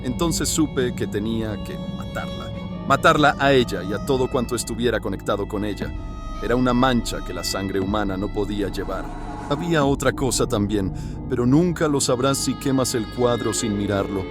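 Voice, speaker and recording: A man voicing an anguished, horrified man in a horror audiobook, confessing a killing in a hoarse, intense, dramatic way. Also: medium pitch, normal speed, music in the background, lightly acted. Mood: haunted, grim.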